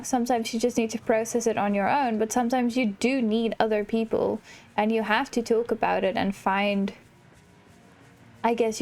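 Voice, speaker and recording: A woman with a fairly high voice gives casual commentary as she plays a video game. She talks in a reflective, empathetic way, like a channel host thinking aloud. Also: darkish tone.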